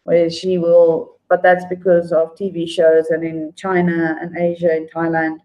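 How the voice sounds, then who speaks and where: A woman's voice: lowish voice, completely flat delivery, unhurried, dull audio. A South African woman hosting a casual livestream and answering her viewers' comments. She talks in a rambling, conversational way, with fillers and restarts, and calls viewers by name. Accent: South African English. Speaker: livestream host reading and answering viewer chat. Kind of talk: casual unscripted livestream chat.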